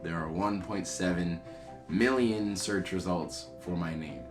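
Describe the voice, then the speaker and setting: A twenty-year-old man talks through questions about his own year in an easy, candid way, poking fun at himself. His voice sits low. Soft music plays faintly underneath. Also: a little slow. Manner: candid, chatty, self-deprecating. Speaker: vlogger answering yearly self-interview questions. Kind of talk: casual self-interview.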